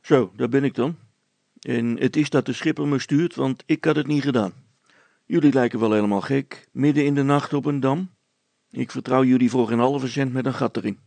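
A man voicing an unfriendly, grudging deckhand in an audiobook story, speaking loudly and scornfully. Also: medium pitch, steady tone, moderate pace, gravelly, no echo, pitched above the performer's own voice. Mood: surly. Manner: surly, scornful.

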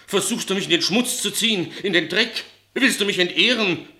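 A man's voice: high voice, normal speed, bright, forceful tone. An actor playing a pompous, self-righteous inn boss in a theatrical radio play, blustering in protest of his honesty. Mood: indignant.

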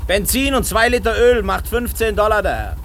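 A man's voice: high voice, animated, moderate pace, fairly bright tone, slight background noise. A courteous filling station man in a radio drama. He speaks with deferential politeness, while a car engine runs faintly behind him. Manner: polite, servile service talk. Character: courteous gas station attendant, a married man. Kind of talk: German radio play acting. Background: idling car engine.

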